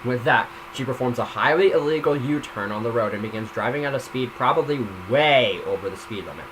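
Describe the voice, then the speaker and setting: A man's voice: medium pitch, fairly quick. A man giving a casual, amateur story reading, stumbling at times and slipping in informal comments of his own. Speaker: reader of a self-written story. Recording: noisy home microphone recording.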